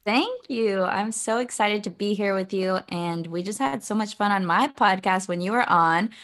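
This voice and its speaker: A woman's voice: mid-range voice, normal speed, fairly bright tone. A young woman guesting on a podcast as an author and coach, talking casually and chattily about her own story. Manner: casual, chatty, many "like" fillers. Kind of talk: podcast interview.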